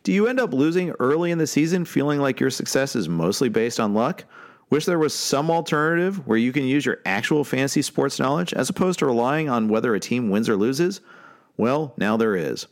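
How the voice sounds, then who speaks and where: An American man with a medium-pitched voice hosts a sports program. He speaks in a conversational broadcast style, addressing guest and listeners alike. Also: animated, brisk. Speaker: fantasy sports show host. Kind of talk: casual interview hosting.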